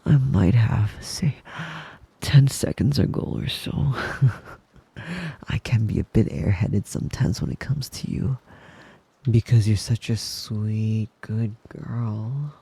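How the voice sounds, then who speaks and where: A man acts out a yandere wolf boy boyfriend for ASMR, very breathy and husky with a gravelly edge. He murmurs slowly and close, sweet and doting with possessive menace underneath, often slipping into a whisper. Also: fairly low pitch, dark tone, full character voice. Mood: adoring. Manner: cooing praise, slow, with little chuckles. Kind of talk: intimate ASMR roleplay monologue. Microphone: close mic.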